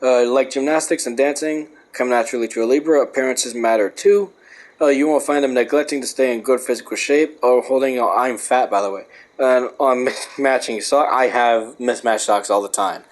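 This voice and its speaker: A man's voice: medium pitch, normal speed. A young man reading a book aloud and breaking off into rambling, profane rants. He says he is losing his voice. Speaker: host.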